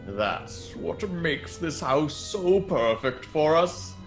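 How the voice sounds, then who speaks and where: An actor playing a cold, easily angered criminal in a radio play, speaking in a deliberate, sinister way. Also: male voice, a little high, expressive, faint music behind. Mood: cold, scheming. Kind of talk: old-time radio play acting.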